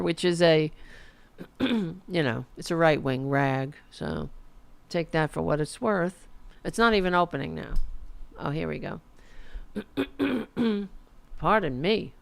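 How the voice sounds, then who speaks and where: A woman's voice: low-pitched, gravelly. A woman hosting a casual political livestream, sarcastic and profane, who is losing her voice. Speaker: political commentary host.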